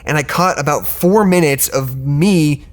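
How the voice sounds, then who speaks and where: Halting, distracted research doctor played by a man. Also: fairly high pitch, close to an everyday voice. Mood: frustrated. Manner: halting, stammering, restarts sentences. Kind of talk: naturalistic audio drama acting.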